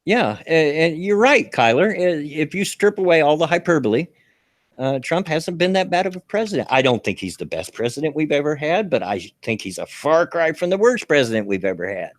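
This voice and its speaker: A man's voice: on the high side, sing-song, a little fast, no room sound. A man with a Southern US accent chatting casually as a podcast host, stammering through restarts and chuckling often. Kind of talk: casual political chat.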